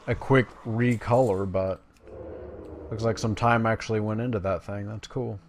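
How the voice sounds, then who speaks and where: A man's voice: on the low side, steady tone, moderate pace, a little hiss or hum. A man giving casual, playful, joking commentary on his own video game play. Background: video game sounds.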